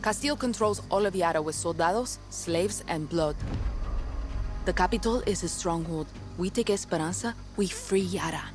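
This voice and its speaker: A woman playing a resolute, earnest guerrilla leader in a video game, giving a firm, measured, commanding briefing. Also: on the low side, natural voice. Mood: determined, grave. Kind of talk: video game character acting. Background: music.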